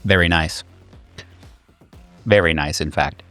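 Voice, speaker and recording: A man running a horror tabletop game, narrating atmospherically in a measured, deliberate way. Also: rumbling low, bright, forceful tone, no room sound, faint music behind.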